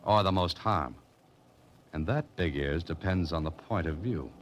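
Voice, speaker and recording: An actor playing a wry, wisecracking amateur sleuth in a clipped, sardonic 1940s radio drama style. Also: male voice, deep voice, a little slow, a little hiss or hum. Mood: sardonic needling. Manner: sardonic, hard-boiled, clipped. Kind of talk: 1940s radio drama acting, first-person narration. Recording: old radio broadcast with music.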